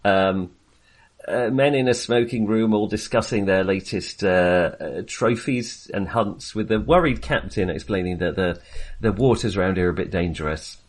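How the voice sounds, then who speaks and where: Low-voiced man, halting podcast host. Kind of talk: casual film discussion.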